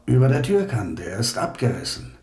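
An actor playing a dutiful, wry junior detective in a German radio crime play. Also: male voice, mid-range voice, big pitch swings, moderate pace, a little mellow. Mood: matter-of-fact.

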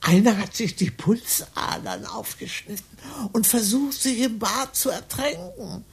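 An actress playing a confused, forgetful old woman in a psychiatric ward, rambling in a creaky, breathy voice in a radio play. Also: on the high side, animated, unhurried, heavily acted. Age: older. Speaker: patient.

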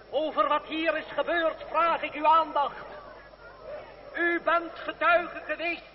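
An actor playing a daring, sardonic young revolutionary in a radio play, declaiming in a rousing way. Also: male voice, very high voice. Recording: dull noisy old radio recording. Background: outdoor crowd clamour.

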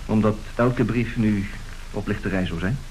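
A man's voice: lowish voice, normal speed, darkish tone, lightly acted, low-quality recording. A man playing a suave, self-assured swindler in an old radio play, speaking formally and theatrically. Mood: smooth, wry. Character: suave, unrepentant male swindler who woos women by letter. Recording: hissy old radio recording.

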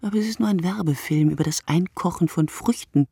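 Sleepless woman narrator, husky, gravelly, reflective, measured phrasing, literary radio play. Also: lowish voice, mellow, no room sound, studio quality. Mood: dry, ironic. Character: sleepless woman musing in a literary night monologue. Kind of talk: scripted literary radio monologue.

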